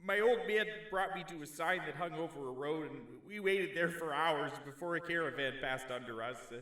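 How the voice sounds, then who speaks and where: A man's voice: fairly high pitch, brisk, sharp tone. A man playing a young, bloodthirsty raider son, sentimental and crude, rambling emotionally in a darkly comic audio drama. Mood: grieving, nostalgic. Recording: echoey room, distant from mic.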